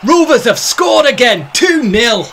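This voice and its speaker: A man playing a cheerful, excitable young football supporter in a radio play. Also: very high voice, unhurried, a little hiss or hum, heavily acted. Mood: excited.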